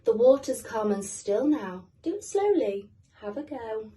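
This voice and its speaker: A woman playing a gentle, patient mother penguin in a storybook, soothing and coaxing. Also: on the high side, big pitch swings, unhurried, recorded from a distance.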